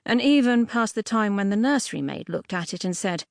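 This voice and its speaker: A woman narrates a fairy tale in a very dry, close studio recording. Her voice sits at an average female pitch. She reads expressively and a touch archly, leaning on key words and pausing for drama. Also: gravelly, dry recording. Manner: expressive, with dramatic emphasis and pauses. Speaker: audiobook narrator.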